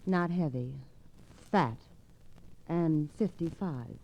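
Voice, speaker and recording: Dark-voiced, icy young femme fatale. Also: female voice, deep voice, slow. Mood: icy, unmoved. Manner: curt, composed. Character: cool, unemotional young wealthy femme fatale widow. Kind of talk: 1950s radio drama acting. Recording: muffled, noisy vintage radio recording.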